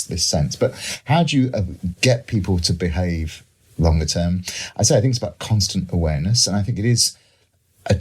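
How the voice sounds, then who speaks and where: A man's voice: low-pitched, husky. A middle-aged man with a British accent explaining ideas as an expert guest in a panel discussion, reflectively and with examples. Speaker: economist and author, panel guest.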